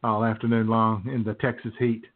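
A man's voice: lowish voice, level tone, a little fast. A man hosting a radio show with casual, folksy, rambling comedic banter. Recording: tinny phone-like sound, faint noise.

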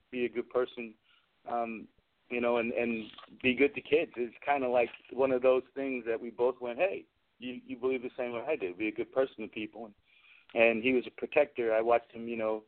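A man's voice on a narrow, phone-like radio line, in an average male register. He talks as a guest, spinning informal, slangy anecdotes in a loose, rambling way. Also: mid-range voice. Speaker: guest, film special effects and prop maker. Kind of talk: casual anecdotal interview chat. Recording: phone-like narrow-band radio call-in audio.

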